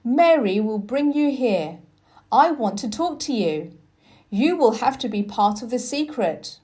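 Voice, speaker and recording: A woman reading a children's storybook, voicing a sickly, imperious, excitable boy in an emphatic, commanding manner. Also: fairly high pitch, measured pace. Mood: determined.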